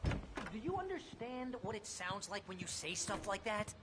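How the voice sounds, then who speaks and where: An actor playing a fretful middle-aged literary agent who fires off worried, sarcastic questions in a video game cutscene. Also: male voice, high voice, big pitch swings, normal speed, very noisy. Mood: exasperated. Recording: game audio with music under it.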